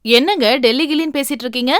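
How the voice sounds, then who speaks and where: A woman playing a nagging, wheedling wife in an animated series, with an Indian Tamil accent and an insistent manner. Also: sits high, rapid-fire, no echo, clean studio sound, natural voice. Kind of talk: animated family series acting.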